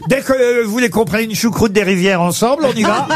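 A high-pitched man hosts a radio game show, teasing callers and panelists with quick jokes. He laughs easily and keeps the banter moving. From Normandy, France.

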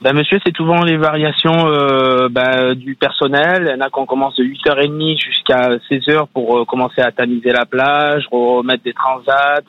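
A man answers casually in an interview, feeling his way through his sentences. His voice sits at a medium pitch, and the sound is dry and somewhat dull. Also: fairly even intonation, normal speed, hardly any pauses, no room sound. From Italian and Spanish descent. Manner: hesitant, searching for words. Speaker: interviewed beach attendant.

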